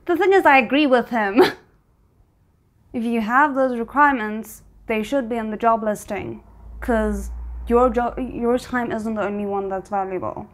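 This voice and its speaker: Woman, high voice, reaction host, casual commentary, expressive, halting delivery. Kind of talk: casual off-the-cuff commentary on a TV drama.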